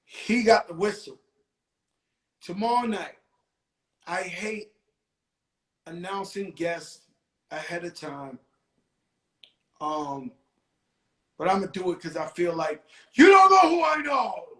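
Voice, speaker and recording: A man's voice: high-pitched, very animated, takes it slowly, long pauses, sharp tone, reverberant. A man with a New York accent hosting a live stream, talking casually in street slang with repeated exclamations.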